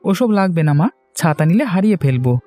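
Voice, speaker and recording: A man voicing a young grown son in a moral-tale cartoon, dutiful yet wanting his own way. His voice sits high for a man and has a soft, mellow tone. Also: big pitch swings, fast talker, faint music behind. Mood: easygoing. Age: young adult. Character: young adult son, fresh graduate, dutiful but independent-minded. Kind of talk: Bengali cartoon story dialogue.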